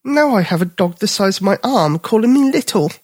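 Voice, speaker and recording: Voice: mid-range voice, lively intonation, brisk, darkish tone, no room sound, pitched above the performer's own voice. A storyteller voicing a young male bear cub who is playful and kind. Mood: earnest. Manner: playful, earnest.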